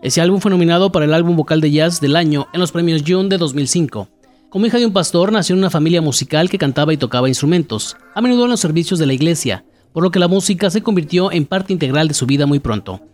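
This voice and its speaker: Guy hosting a music show, reading from a script, higher-ish voice, talks quick, faint music behind. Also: expressive, a little mellow. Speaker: radio music show host. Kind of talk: scripted narration.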